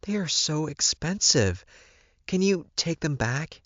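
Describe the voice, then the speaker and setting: A man's voice: fairly high pitch, lively intonation, takes it slowly, no room sound, voice pushed up. A man voicing an anxious, apologetic young woman shopper in an audiobook, hesitant and polite. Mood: shocked, pleading.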